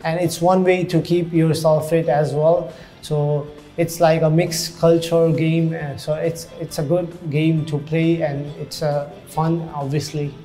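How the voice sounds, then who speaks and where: A man's voice: fairly high pitch, dark tone. A man in his thirties from Hong Kong, a youth program coordinator, talking earnestly in a documentary interview with many uh fillers. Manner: earnest, halting with frequent uh fillers. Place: indoor community centre room.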